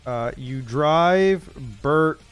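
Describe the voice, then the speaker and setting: A man hosting a casual game livestream, thinking aloud haltingly and improvising story prompts as he goes. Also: fairly high pitch, expressive, faint music behind. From Canada. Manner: halting, thinking aloud, frequent throat clearing. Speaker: livestream host.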